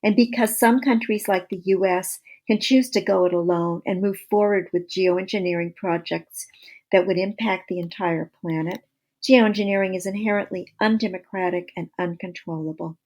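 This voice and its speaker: A woman narrates an audiobook from a prepared script. Her voice sits at a middle pitch for a woman, and her delivery is measured and explanatory. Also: fairly even intonation, darkish tone.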